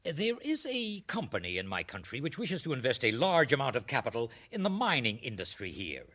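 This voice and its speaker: A man's voice: mid-range voice, huge pitch swings, a little fast, sharp tone, exaggerated voice. A man playing an effusive, pompous foreign ambassador in a satirical radio drama, hesitating theatrically. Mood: gushing. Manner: theatrical, hesitating with fussy ehs and uhs.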